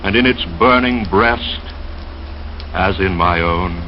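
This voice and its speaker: Slow, low-voiced man on crackly old radio. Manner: slow, solemn, romantic declamation. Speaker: radio announcer reading poetry and sponsor message. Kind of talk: dreamy 1930s radio poetry reading. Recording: dull, noisy vintage radio sound. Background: steady crackle and rain noise.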